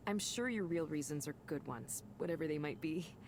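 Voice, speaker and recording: A woman's voice: low-pitched, moderate pace, noisy background. An actress playing a friendly, starstruck woman manager in a video game, chatty, earnest and reassuring. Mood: discreet, reassuring. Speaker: manager of counseling centers.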